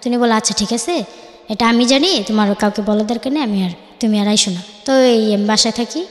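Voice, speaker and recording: High-voiced young Bangladeshi woman, halting storyteller. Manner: halting, self-correcting, acts out reported dialogue. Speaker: guest telling life story. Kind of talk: informal personal storytelling. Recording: echoey, reverberant room.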